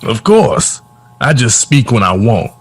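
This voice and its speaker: A man's voice: medium pitch, sing-song, unhurried, slightly rough, no echo. A man acting in an audio drama as a wry old former musician who is a kindly mentor. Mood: wry, encouraging. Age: older.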